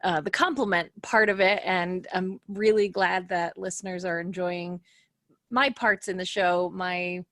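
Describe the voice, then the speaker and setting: A woman's voice: lowish voice, steady tone, unhurried, fairly bright tone, no echo, clean studio sound. A woman in her thirties talking casually on a podcast, giggly and self-deprecating, as one of the show's players. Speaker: podcast cast member and player.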